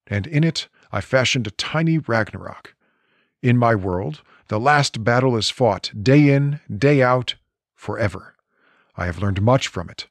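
Brooding ancient god king, gravelly and grave, read by a man, dry close sound. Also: mid-range voice, no echo. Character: Odin, ancient weary male god king. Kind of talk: reading comic dialogue aloud.

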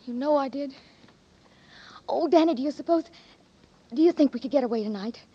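Actress as anxious, lovestruck young woman for vintage radio melodrama; high, husky, breathless voice on a muffled old broadcast. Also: fairly quick, mellow, close to an everyday voice. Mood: loving, excited. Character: devoted, anxious young woman in love, ex-nurse. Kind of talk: 1940s radio drama acting.

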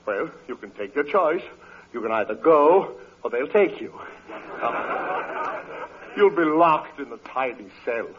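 An actor playing a pompous, scheming older uncle in a 1940s radio comedy, florid in speech and stammering when flustered. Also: male voice, medium pitch, lively intonation, a little slow, crowd noise, lightly acted. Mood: anxious, persuasive. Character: pompous, scheming old uncle, fussy and evasive. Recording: dull vintage radio recording.

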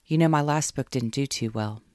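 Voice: mid-range voice, normal speed, a little mellow, no echo, clean studio sound, lightly acted. A reader voicing a subdued, questioning woman writer who feels lost, in an audiobook. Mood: weary.

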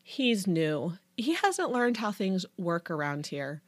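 A woman's voice: fairly low pitch, big pitch swings, takes it slowly, dry recording, exaggerated voice. A woman voicing a confident, teasing teenage cheerleader ringleader in an audiobook. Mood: brisk. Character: bold, coy teenage cheerleader ringleader girl. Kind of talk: audiobook character dialogue.

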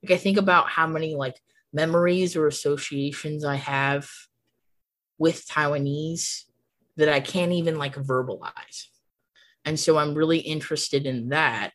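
A woman's voice: low voice, steady tone, a little slow. A young woman guest on a podcast, talking casually and often laughing. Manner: chatty, laughs often, fillers like "like". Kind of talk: casual conversation.

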